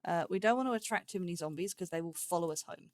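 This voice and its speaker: A woman's voice: on the low side, fast, no echo. Woman, casual let's play host, mid-range voice, playful self-talk, fragmented thinking-aloud phrases. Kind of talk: casual gameplay commentary.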